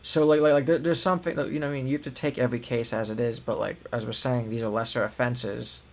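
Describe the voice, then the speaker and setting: A man's voice: medium pitch, fairly even intonation, fast, vocal fry, a little hiss or hum. A young man co-hosting a news show, reading headlines and then giving loose, filler-heavy opinions. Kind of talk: casual news commentary.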